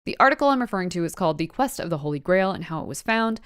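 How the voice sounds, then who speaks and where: A woman's voice: fairly low pitch, animated, very fast, a bit bright, smooth. A woman hosting a vlog, giving enthusiastic commentary in an informal, slangy, effusive way. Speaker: host.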